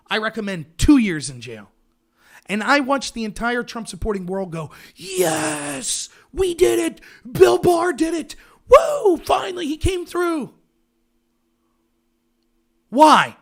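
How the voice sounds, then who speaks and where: Man, very high-pitched, livestream rant, sarcastic and emphatic. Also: gravelly, fairly bright tone. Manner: emphatic, sarcastic, rhetorical questions.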